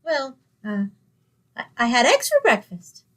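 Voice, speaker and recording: A woman's voice: sits high, wildly swooping pitch, a little slow, exaggerated voice. A woman playing a sweet, naive, scatterbrained young woman in an old-time radio sitcom, earnest and oblivious. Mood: naive, evasive.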